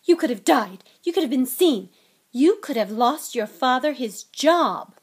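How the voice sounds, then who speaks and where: A woman voicing a bossy mother witch in an audiobook, sharp and exclaiming, scolding and fussing fondly. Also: on the high side, animated, a little fast. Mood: furious scolding. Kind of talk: audiobook character acting.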